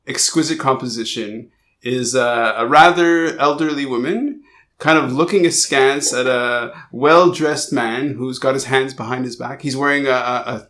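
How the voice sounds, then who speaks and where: A man hosting an interview podcast, describing a photograph slowly with many pauses and fillers. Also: medium pitch, fairly bright tone. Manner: hesitant, describes at length with fillers. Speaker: podcast host. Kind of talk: casual interview chat. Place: guest's house.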